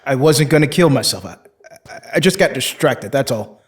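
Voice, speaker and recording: An actor playing a desperate, defensive man weighed down by guilt, speaking in a halting, guarded way in an audio drama. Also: medium pitch, lively intonation, natural voice. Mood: startled, evasive.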